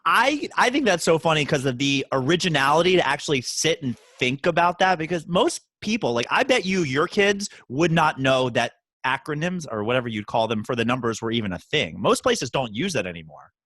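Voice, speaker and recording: A man's voice: a little high, big pitch swings, a little fast, hardly any pauses, a bit bright, no room sound. A man hosting a podcast, teasing his guest with jokes in casual banter. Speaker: podcast host. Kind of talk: casual chat.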